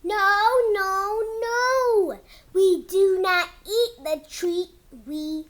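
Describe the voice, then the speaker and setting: Very high-pitched child reading a storybook slowly. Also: fairly bright tone. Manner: halting beginner reader, drawn-out words. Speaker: host reading a picture book aloud. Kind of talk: children's book read-aloud.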